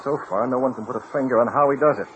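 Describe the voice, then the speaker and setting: A man's voice: medium pitch, racing, natural voice. A man acting a commanding, secretive masked vigilante in an old radio adventure, clipped and authoritative. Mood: urgent, commanding. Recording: dull noisy old radio recording.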